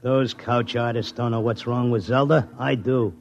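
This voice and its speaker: A man's voice: medium pitch, fairly even intonation, moderate pace, creaky, natural voice. Man acting slangy streetwise New York racetrack tipster. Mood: stubborn, insistent. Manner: slangy, colloquial tough-guy talk. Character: streetwise Broadway racetrack handicapper, lovesick and stubbornly determined. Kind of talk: 1950s radio drama acting, first-person narration and dialogue. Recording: dull vintage radio recording, music behind.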